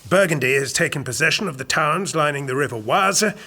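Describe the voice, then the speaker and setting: An actor playing a calculating royal chamberlain in an audio drama, speaking in a formal, measured, persuasive way. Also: male voice, fairly high pitch, bright, forceful tone. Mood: admiring, reporting. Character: calculating, smooth-talking royal chamberlain and courtly schemer.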